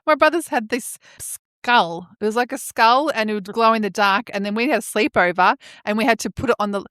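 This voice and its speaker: Female co-host, forties, Australian, slightly high voice, casual reminiscing, very dry close recording. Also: brisk, a bit bright, no echo. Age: 40s. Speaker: podcast co-host. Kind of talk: casual nostalgic chat.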